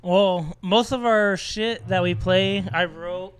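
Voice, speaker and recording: A man, a band's bass player, talking casually and rambling, swearing often. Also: slow pace, clean tone, no room sound. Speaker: band bassist, guest. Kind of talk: casual interview.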